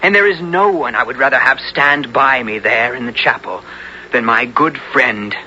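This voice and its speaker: An actor playing an honorable young medieval hero in a radio drama, earnest and theatrical in archaic diction. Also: male voice, medium pitch, moderate pace, sharp tone. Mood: joyful, relieved. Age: young adult. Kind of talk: 1970s radio drama acting and narration. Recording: dull radio recording, faint noise.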